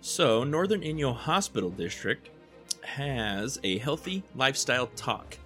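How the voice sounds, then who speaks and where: A man's voice: medium pitch, very animated, a little slow, a bit bright, faint music behind. A man hosting a local news show, reading press releases casually and adding joking asides. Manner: laid-back, adds joking asides to the news. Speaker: local news podcast host.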